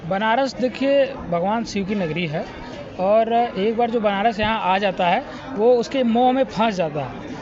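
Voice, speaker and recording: A young man from Varanasi, a graduate, speaking slowly like he is telling a story, outdoors. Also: high voice, expressive. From Varanasi, India. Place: outdoors at a university convocation. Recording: muffled outdoor recording with crowd noise.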